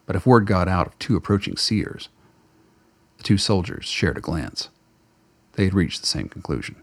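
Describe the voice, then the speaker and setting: A man with a gravelly, husky voice reading scripted narration for a radio play. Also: sits low, animated, normal speed, no room sound.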